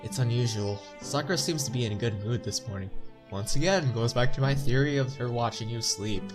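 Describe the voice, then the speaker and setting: A man's voice: medium pitch, brisk. A man with a stuffy nose reading visual novel narration aloud as a let's play narrator, adding casual commentary. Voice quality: stuffy-nosed. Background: game music.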